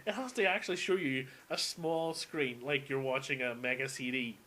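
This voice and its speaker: A man speaks off the cuff in an average-pitched voice, like someone hosting his own audio log. He rambles casually and gives blunt opinions. His delivery is emphatic and peppered with swearing. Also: normal speed, sharp tone. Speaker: solo host of an audio log on games and films. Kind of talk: unscripted rambling casual rant.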